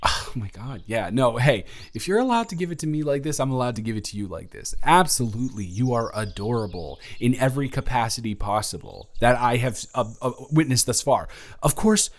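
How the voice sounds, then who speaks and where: A man's voice: mid-range voice, lively intonation, brisk, close to an everyday voice. A young man playing an awkward, smitten, introverted college dormmate in a romantic audio roleplay, rambling and stammering in a flustered way. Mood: flustered, affectionate. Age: young adult. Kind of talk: intimate romantic audio roleplay, conversational acting.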